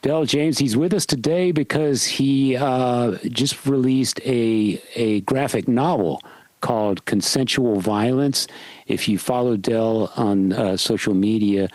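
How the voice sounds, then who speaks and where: A man hosting a podcast, talking in an easygoing, steady way as he catches up with his co-host and introduces a guest. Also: medium pitch, slow, dry recording, poor audio. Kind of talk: casual podcast chat.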